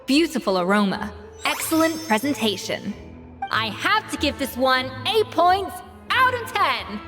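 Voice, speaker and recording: A woman playing an exacting, proud master chef in a video game, crisp and bluntly critical. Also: sits high, big pitch swings, measured pace. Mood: measured approval. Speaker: chef judging dishes. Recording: reverberant hall, music behind.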